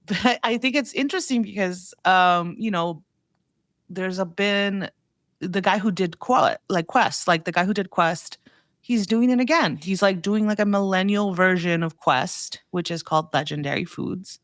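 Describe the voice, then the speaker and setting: A woman's voice: medium pitch, normal speed, no room sound, studio quality. A woman giving casual conversational commentary as a podcast guest, rambling informally and peppering her sentences with "like". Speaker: podcast guest, food trends newsletter writer.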